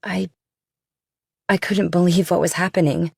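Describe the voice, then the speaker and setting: A woman's voice: on the low side, steady tone, unhurried, gravelly, darkish tone, slightly rough, dry recording, clean studio sound, exaggerated voice. A woman playing an uneasy young finance professional in audiobook dialogue, speaking in a tentative, conversational way. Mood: uneasy, defensive.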